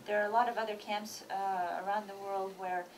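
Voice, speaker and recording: Woman, mid-pitched voice, conference presenter, explaining, distant noisy sound. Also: fairly even intonation, brisk. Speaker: peace program presenter. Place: church conference hall.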